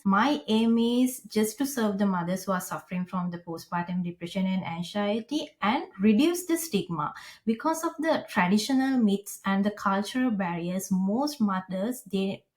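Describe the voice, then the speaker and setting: A woman's voice: mid-range voice, a little mellow. Earnest Sri Lankan woman. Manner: earnest, halting, many fillers and restarts. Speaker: podcast guest. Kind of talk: personal story in an interview.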